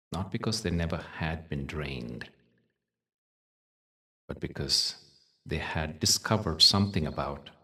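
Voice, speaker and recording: A man leading a guided meditation in a soothing, measured way, leaving long pauses between short phrases. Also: low voice, a bit bright, recorded from a distance. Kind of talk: guided meditation with spoken teaching.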